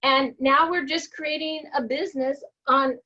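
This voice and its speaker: A woman's voice: high voice, fairly even intonation, a little slow, pushed and bright, highs cut off. A woman giving an earnest, emphatic personal testimonial in casual talk. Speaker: network marketing guest.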